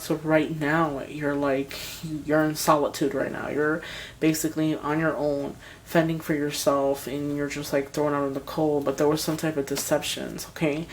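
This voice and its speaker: Hoarse woman giving a tarot reading. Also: low-pitched, level tone, normal speed, a little hiss or hum. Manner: hesitant, rambling, speaks straight to viewers. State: scratchy, hoarse voice. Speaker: tarot reader. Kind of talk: tarot reading addressed to viewers.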